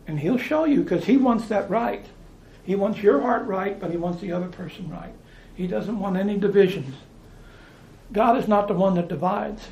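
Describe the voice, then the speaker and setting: A man's voice: high-pitched, vocal fry, dark tone, roomy sound, low-quality recording. An American man preaching informally, talking in a conversational way, repeating phrases and restarting his sentences. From United States.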